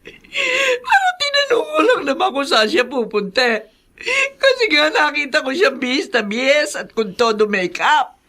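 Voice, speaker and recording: A woman's voice: high voice, big pitch swings, unhurried, pushed and bright, full character voice. An actress playing a tearful, accusing older Filipino mother-in-law in a radio drama, wailing her complaints. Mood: tearful, aggrieved. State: crying.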